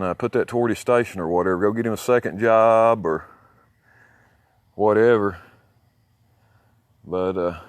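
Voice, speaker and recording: A man's voice: fairly low pitch, dry recording, studio quality. A man with a Southern Alabama accent rambling casually in a boastful, folksy, foul-mouthed way as he talks outdoors. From southern United States. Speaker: CB radio hobbyist filming his own video. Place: outdoors near his home.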